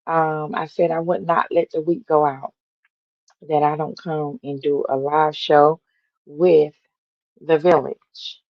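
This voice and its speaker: A woman hosting a casual live-stream chat, talking to her viewers with a raspy morning voice and many ums. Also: fairly low pitch, no room sound, lo-fi sound. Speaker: livestream host.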